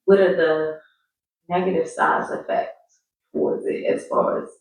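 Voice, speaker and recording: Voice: mid-range voice, flat delivery, measured pace, very echoey, distant mic, poor audio. An interviewer asking short, casual questions.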